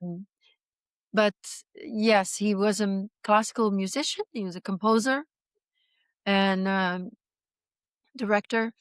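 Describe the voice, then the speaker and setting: A woman in her sixties from Belgium tells her story slowly and reflectively, often pausing and restarting her sentences. Her voice is smooth and clear, recorded close and dry, at a middle pitch. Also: fairly even intonation, dry recording. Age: 60s. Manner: reflective, halting, many fillers and restarts. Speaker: guest. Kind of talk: personal testimony in interview.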